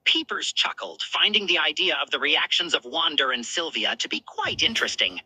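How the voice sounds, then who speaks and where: Casting: female story narrator, piercingly bright, lively intonation, scripted read. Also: low-pitched, normal speed, breathy edge, dry recording, poor audio.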